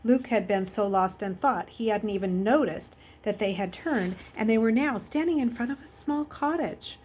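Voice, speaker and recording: A woman's voice: medium pitch, normal speed, a little mellow, slightly rough. A woman reading a children's novel aloud as a storyteller. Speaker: story reader.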